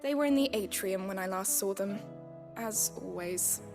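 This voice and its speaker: A woman playing a polite, earnest, gently firm schoolteacher in a fantasy video game. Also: medium pitch, loud music behind the voice, close to an everyday voice. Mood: concerned, firm. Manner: measured, well-spoken.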